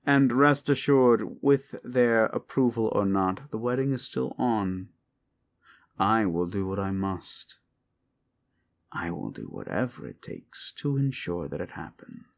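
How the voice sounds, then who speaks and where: An actor playing an unhinged, paranoid, possessive young prince who speaks with soft menace, breaks into unsettling laughter and drops into whispers. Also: male voice, fairly low pitch, very animated, unhurried, vocal fry, exaggerated voice. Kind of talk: audio roleplay drama.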